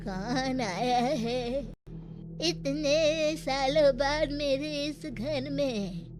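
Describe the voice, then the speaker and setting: A woman voicing a mysterious old woman who guards treasure in a Hindi cartoon, with slow, drawn-out speech. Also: sits high, over music, pitched above the performer's own voice.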